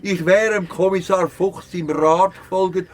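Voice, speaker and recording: A man playing a friendly, excitable fairy-tale huntsman with a Swiss German accent in a children's radio play. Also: high voice, measured pace. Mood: excited, relieved.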